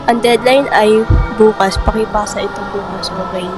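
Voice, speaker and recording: A woman's voice: medium pitch, fairly even intonation, moderate pace, over music, close to an everyday voice. A woman playing a schoolteacher addressing a class in an amateur radio drama. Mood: instructing.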